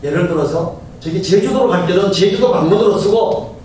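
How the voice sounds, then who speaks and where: Middle-aged male pastor with a slightly high voice, preaching conversationally to the congregation, heard from a distance with faint hiss behind. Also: brisk, darkish tone. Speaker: senior pastor. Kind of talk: Sunday sermon. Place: church sanctuary. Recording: very echoey church hall from far off, faint hiss.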